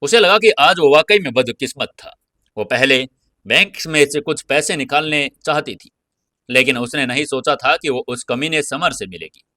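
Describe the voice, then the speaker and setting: A man's voice: medium pitch, bright, forceful tone, no echo. A man narrating an audio serial in a dramatic, scripted storytelling style.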